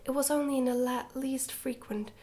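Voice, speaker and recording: A woman reading a storybook in a soft-spoken ASMR style, slipping often into a whisper, with a gentle, hushed delivery. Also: sits high, fairly even intonation, moderate pace. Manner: gentle, hushed delivery with some stumbles over words. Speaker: ASMR reader, channel host.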